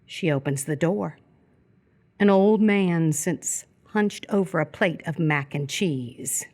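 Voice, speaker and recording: A woman reading scripted narration for live radio theatre in a measured, descriptive way. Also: lowish voice, darkish tone.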